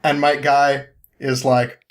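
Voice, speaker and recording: A man with a mid-pitched voice recaps a story in a loose, conversational way. He rambles and hesitates, feeling for the next bit, and sounds amused by what he is telling. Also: little pitch movement. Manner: halting, rambling, amused, often chuckling. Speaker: podcast guest.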